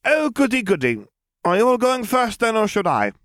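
A man's voice: high-pitched, sing-song, moderate pace, a bit bright, no room sound, studio quality, pitched above the performer's own voice. A man voicing a precocious eleven-year-old girl who talks in a detached, formal way. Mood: sarcastic.

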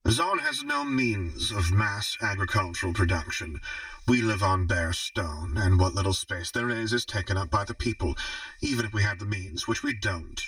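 Audiobook villainess baron, played by a man: low, formal, commanding, very animated pitch, slightly off mic. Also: moderate pace, dry recording, distant mic, low-quality recording. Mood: calm, businesslike. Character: shrewd, commanding female underworld baron, grim and sneering.

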